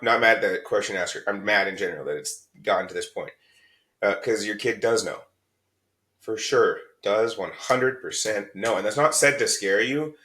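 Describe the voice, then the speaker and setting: A man with a fairly low voice co-hosts a candid podcast chat. He speaks bluntly and with heat, swears freely, and lectures listeners like a parent who has had enough. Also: pushed and bright. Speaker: podcast co-host.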